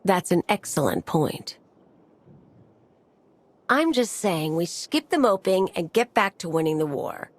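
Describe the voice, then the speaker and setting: An actress playing a brash, scornful mercenary operative in a video game, blunt and sardonic. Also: female voice, lowish voice, sing-song, unhurried, pauses often, no echo. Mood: defensive, brisk.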